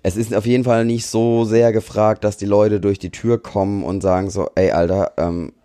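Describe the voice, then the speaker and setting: A man with a deep voice speaks as an interview guest. His talk is casual and colloquial, full of slang and English loanwords. The sound is dry, with very little room in it. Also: little pitch movement, normal speed, mellow, no echo. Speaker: interview guest, tattoo artist.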